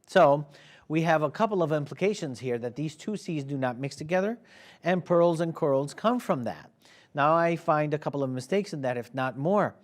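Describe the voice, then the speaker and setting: A man whose first language is Arabic, firmly moderating and then arguing in a debate. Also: fairly high pitch. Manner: firm, steering the talk.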